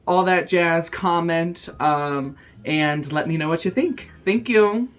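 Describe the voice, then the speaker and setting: A man in his twenties, a drag queen, talking through his makeup routine in a casual, self-mocking way, with lots of ums and quick giggles. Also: a little high, a bit bright, smooth, faint music behind. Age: 20s. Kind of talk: casual makeup tutorial commentary.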